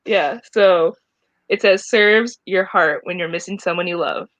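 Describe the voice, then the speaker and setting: A woman's voice: fairly low pitch, unhurried, a bit bright, a little hiss or hum. A woman of about twenty chatting casually as co-host of a live stream. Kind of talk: casual group chat. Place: indoor room at home, on a video call. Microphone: headset on a video call. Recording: dull, lo-fi video call audio.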